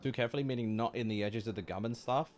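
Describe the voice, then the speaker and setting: A man's voice: medium pitch, rapid-fire, a little hiss or hum. A man chatting casually and informally as a streamer reacting to a game, using lots of slang, with music behind. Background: faint game music.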